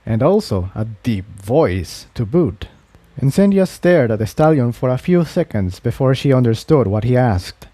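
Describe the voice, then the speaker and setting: A man's voice: medium pitch, very animated, moderate pace, warm tone, dry recording. A man reading a scripted adventure story aloud as its narrator. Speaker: audiobook narrator.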